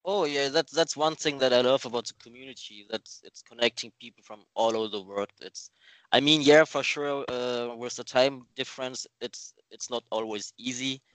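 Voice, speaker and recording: A man in his twenties who grew up in Thailand talks in a relaxed interview chat, often stumbling, repeating words and reaching for fillers. His voice sits at an ordinary pitch and sounds dry and close, with almost no room around it. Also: moderate pace, no room sound, rough recording. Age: 20s. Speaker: guest.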